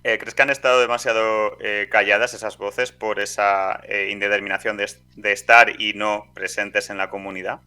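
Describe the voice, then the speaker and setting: Spanish guy from spain doing radio interview, bright forceful voice, on the low side, bit hesitant. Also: level tone, normal speed, hardly any pauses, clean tone, soft background music. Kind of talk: prepared interview questions with casual banter.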